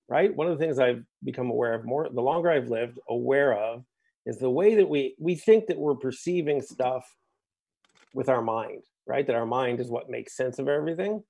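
A man from the United States, here as a guest musician in a relaxed interview. He speaks in long, winding, reflective thoughts and keeps checking in with his host through little asides like "right?" and "you know". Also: mid-range voice, creaky.

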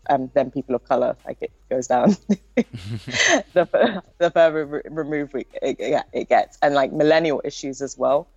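A woman's voice: low voice, mellow, no room sound, muffled recording. A young woman with a nasal voice, chatting casually as a podcast guest and filling her talk with likes and ums. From Britain. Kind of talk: casual interview chat.

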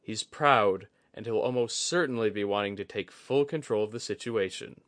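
A man voicing a composed, shrewd young commander in a fantasy audiobook, measured, courteous and dryly humorous. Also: on the low side, fairly bright tone, no echo, natural voice. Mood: resigned, wary. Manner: measured, well-spoken, dryly amused. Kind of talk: audiobook fantasy character acting.

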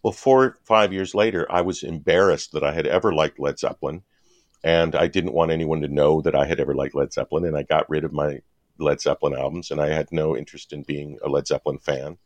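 A man in his fifties from Wisconsin with an extremely low voice, chatting as a podcast guest. He rambles, stops and restarts, and fills gaps with ums. The sound is dry and close to the mic. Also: steady tone, a little fast, no echo. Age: 50s. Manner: rambling, with many fillers and restarts. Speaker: guest cartoonist. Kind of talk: casual podcast conversation.

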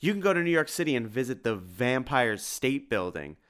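A man voicing an excitable, wisecracking young male sidekick in a storybook. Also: medium pitch, fairly quick, crowd noise, voice pushed up, lightly acted. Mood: cocky. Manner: exclamatory, jokey.

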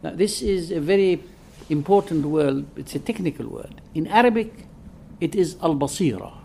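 A man whose first language is not English, reading a prepared scholarly lecture in a measured way with soft hesitations. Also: on the high side, expressive, creaky, slight background noise.

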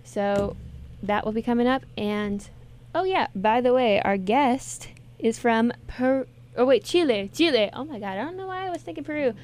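A woman's voice: fairly high pitch, expressive, normal speed, few pauses, a little mellow. A woman hosting a podcast, chatting casually and rambling with fillers and self-corrections. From southern United States. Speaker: podcast host.

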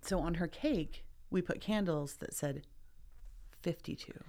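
Lower-voiced woman in her forties, matter-of-fact. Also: measured pace, mellow. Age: 40s. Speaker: podcast co-host. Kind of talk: casual chat.

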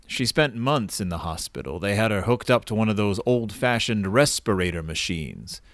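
An elderly grandfather in an audio drama, with a lively, wide-swinging delivery. He is courteous and old-fashioned, kindly but gruff and a little wistful, recorded dry and close. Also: lowish voice, moderate pace, no room sound. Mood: wistful, reflective. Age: older.

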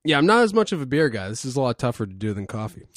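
A man's voice: mid-range voice, big pitch swings, racing, dry recording, studio quality. A man hosting a casual podcast, talking in a laid-back, joking way. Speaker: podcast host.

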